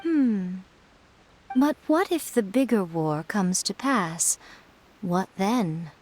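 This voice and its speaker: A woman's voice: medium pitch, expressive, slow, a little mellow, slight background noise. A woman playing a sly, teasing, elegant shrine priestess in a video game, playful and knowing. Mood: thoughtful.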